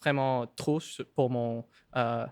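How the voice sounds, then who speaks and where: A young man whose first language is English talks in French with an English accent, pausing often and borrowing English words. His voice is clear and smooth at a middle pitch, recorded dry and close. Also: fairly even intonation, dry recording. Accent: English-speaking. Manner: halting, mixes in English words. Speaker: podcast guest. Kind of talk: casual interview.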